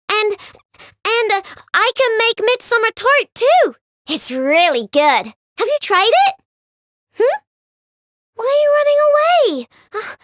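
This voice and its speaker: A woman voicing an excitable, chatty young female inventor who builds robots. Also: extremely high pitch, no echo, clean studio sound, heavily acted. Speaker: game character voice. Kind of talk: recorded game voice lines.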